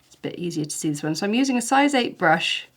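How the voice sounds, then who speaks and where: A woman, a little snuffly with hay fever, talking through a watercolour painting tutorial in a gentle, chatty, encouraging way. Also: lowish voice, animated, fast. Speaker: watercolour art teacher.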